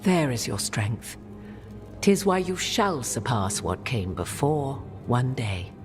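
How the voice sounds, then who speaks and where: A worldly-wise witch from a fantasy game, regal and knowing. Her phrases are archaic and eloquent, rising and falling in animated swoops over a bed of music. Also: a bass voice, slow pace, heavily acted. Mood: solemn. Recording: music under the voice.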